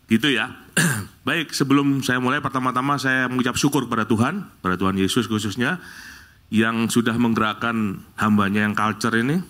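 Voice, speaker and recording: Man, middle-aged, from Bali, church sermon, lively, loud exclamations. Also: medium pitch, normal speed. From Bali, Indonesia. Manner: lively, rising to loud exclamations, jokes with the congregation. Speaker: guest preacher. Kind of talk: opening prayer then sermon. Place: church service.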